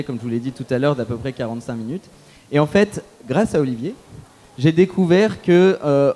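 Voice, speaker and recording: Panelist, male, mellow and fairly high voice, easygoing and discursive. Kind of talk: conference panel talk.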